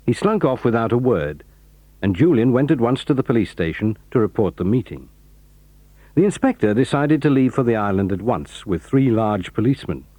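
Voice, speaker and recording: A man with a British accent narrating a children's story in a measured storybook style. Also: mid-range voice, warm tone, dry recording.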